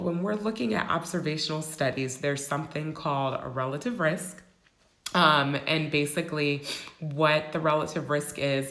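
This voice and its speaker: A low-pitched woman, raspy and a bit hoarse from a cold. She explains things in a casual, rambling way, with plenty of "um"s. Her voice bounces around an echoey room. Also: a bit bright. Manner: explanatory, with frequent fillers. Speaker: host. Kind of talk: casual educational talk.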